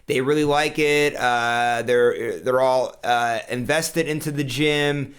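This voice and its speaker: A man's voice: on the high side, fairly bright tone, smooth. A man hosting an interview, asking long, rambling questions full of fillers. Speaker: interview host.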